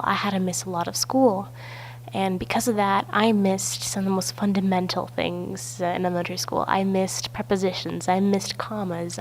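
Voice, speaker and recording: A young woman from Belarus, a native Russian speaker, with a raspy, stuffy voice, narrating her personal story for a class project. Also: mid-range voice, slight background noise, low-quality recording. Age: young adult. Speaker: student. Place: outdoors at a balloon festival.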